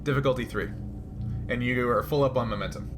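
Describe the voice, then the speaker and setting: A man's voice: mid-range voice, fairly quick, background noise. A man running a tabletop role-playing game, explaining rules and narrating in a conversational way. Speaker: game master.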